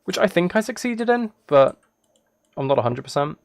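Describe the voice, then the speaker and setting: A man talking casually and softly as a live streamer, thinking aloud in a rambling, relaxed way while he plays a game. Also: high-pitched, normal speed, no echo. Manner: soft-spoken, relaxed. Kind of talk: casual livestream chat while gaming.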